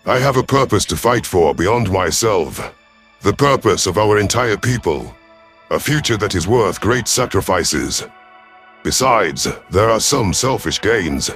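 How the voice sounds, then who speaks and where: A man voicing a stern, seasoned reptilian alien commander who speaks in formal, measured threats. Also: lowish voice, lively intonation, fairly bright tone, faint music behind. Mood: solemn resolve.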